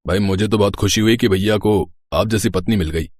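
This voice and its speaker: Man acting a low-voiced playful young brother-in-law. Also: a little fast, darkish tone, slight background noise, close to an everyday voice. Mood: teasing, cheerful. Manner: teasing, pleading. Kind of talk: storybook acting.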